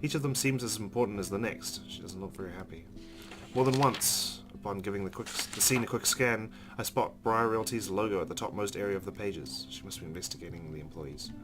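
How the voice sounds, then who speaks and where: A man's voice: lowish voice, fairly quick, over music. A man hosting a let's play, reading a visual novel's narration aloud with casual asides.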